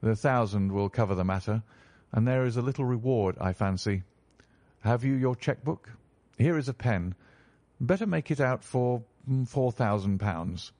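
A man reading a story, voicing a stern, calm male detective in a low, measured, authoritative tone. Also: no echo, close to an everyday voice. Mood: assured.

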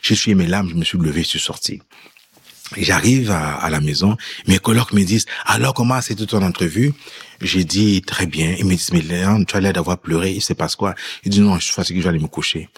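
A man's voice: sits low, a little fast, breathy edge. A man telling a personal story in an interview, acting out bits of dialogue as he recounts it. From immigrant who lived in France before Quebec. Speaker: guest telling his faith story.

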